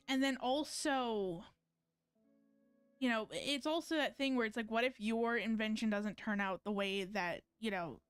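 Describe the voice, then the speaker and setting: A woman's voice: fairly high pitch, fairly bright tone, clear, resonant tone, no echo. A woman streaming a game with casual, colloquial commentary, rambling and often interrupting herself mid-thought. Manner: rambling, colloquial, frequent hedging and self-interruption.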